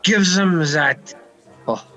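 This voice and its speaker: A man's voice: fairly high pitch, slow pace, sharp tone, dull audio, soft background music. A man playing a flustered nightclub manager in an amateur radio play. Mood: fussing, hurried. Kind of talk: hobby radio play acting.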